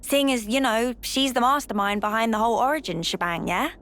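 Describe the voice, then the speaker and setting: A woman's voice: on the high side, a little fast, fairly bright tone, a little hiss or hum. An actress playing a feisty, outspoken young female fighter in a video game, chatty and emphatic. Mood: casual, pointed. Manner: colloquial, emphatic.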